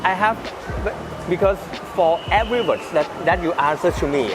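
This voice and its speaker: A man with a Thai accent hosting an interview, phrasing his English questions in a halting, roundabout second-language way. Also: sits high. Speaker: host interviewing a guest. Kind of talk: conversational interview. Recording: music under the voice. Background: background music.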